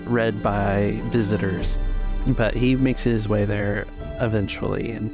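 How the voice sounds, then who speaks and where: A man narrating a tabletop role-playing game as game master, describing scenes haltingly in the present tense. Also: lowish voice, level tone, over music.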